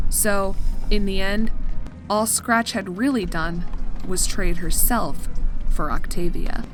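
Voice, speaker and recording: A woman narrating a scripted dark comedy story in a dry storytelling manner. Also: medium pitch. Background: music.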